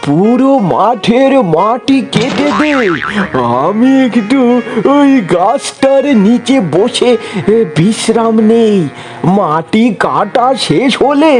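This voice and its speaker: An actor plays an old villager uncle from a cartoon, grumbling and sly. The delivery is slow and drawn out, full of groans and repeated words. Light music runs behind the voice. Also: medium pitch, expressive. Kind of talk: Bangla cartoon voice acting.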